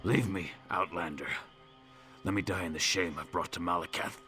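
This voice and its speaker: An actor playing a wounded, ashamed orc warrior in a video game, gruff, defeated and struggling for breath. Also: male voice, low-pitched, fairly even intonation, normal speed, over music, natural voice. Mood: bitter shame. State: weak, labored, coughing and sighing in pain. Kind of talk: video game character acting.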